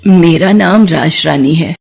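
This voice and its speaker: Lady doing a soft gentle older auntie, calm and kind, cartoon voice. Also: low-pitched, slow, gravelly, full character voice. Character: kind wealthy older woman, injured, gentle.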